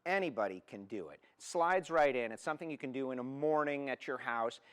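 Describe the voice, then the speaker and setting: A man from Miami with a mid-pitched voice co-hosts a car show. He talks about products casually, in colloquial and opinionated terms. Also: lively intonation, a little fast, a bit bright, smooth. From Miami, United States.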